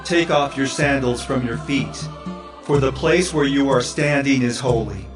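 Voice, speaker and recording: An actor playing a stern holy warrior who commands a heavenly army, speaking with authority in an audio Bible drama. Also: male voice, mid-range voice, measured pace, over music, natural voice. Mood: solemn, commanding.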